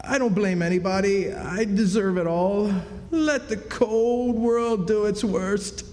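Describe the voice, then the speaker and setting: A man's voice: sits high, slow pace, a little mellow. A man playing a florid, theatrical con-man drifter in an old-time radio drama, folksy and grandiose. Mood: theatrical self-pity. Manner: folksy, grandiose, hammy delivery. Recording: live stage in echoey hall.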